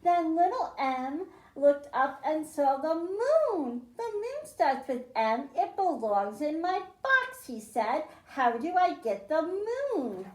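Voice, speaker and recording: A woman's voice: high-pitched, sing-song, slow, hardly any pauses, darkish tone, reverberant. A woman librarian reading a picture book aloud to children, stressing the m sounds in a sing-song storytime way, then explaining a science activity. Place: library room.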